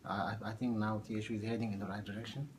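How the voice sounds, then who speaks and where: A man in his thirties from Ethiopia, a math teacher answering oral history questions in a halting way with many fillers. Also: on the low side, little pitch movement, a little hiss or hum. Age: 30s.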